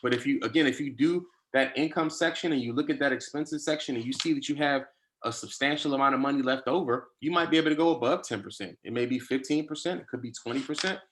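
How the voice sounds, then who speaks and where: Fast-talking man answering a question. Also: medium pitch, a bit bright. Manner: quick, explanatory, with fillers. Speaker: webinar presenter. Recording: faint noise and clicks.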